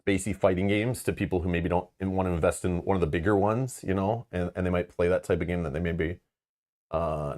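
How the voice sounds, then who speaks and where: A man with a notably deep voice chats loosely to his viewers, wandering between thoughts and padding with fillers. His tone stays casual and frank. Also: a little mellow. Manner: rambling, filler-heavy, frank. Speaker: board game channel host. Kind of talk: casual live-stream chat.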